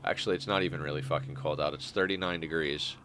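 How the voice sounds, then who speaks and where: A man's voice: very deep voice, steady tone, fast, sharp tone, slight background noise. A young man with a stuffed-up nose giving rambling, wry commentary while streaming a game. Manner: rambling, self-correcting, wry. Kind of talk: casual gameplay commentary.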